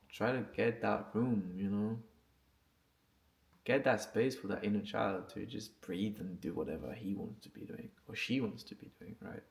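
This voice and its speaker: Man, non-native English speaker, lowish pitch, heavy vocal fry, casual vlog talk, informal, sweary, encouraging. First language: not English. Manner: informal, slangy, sweary, with frequent "right?" check-ins. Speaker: vlogger and music producer. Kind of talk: casual unscripted talk to camera.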